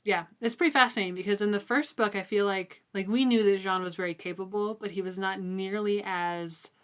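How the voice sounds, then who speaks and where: A woman's voice: mid-range voice, steady tone, fairly quick, smooth. A woman hosting a podcast, talking through a book in an informal, thoughtful way. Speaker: podcast host. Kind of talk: casual book discussion.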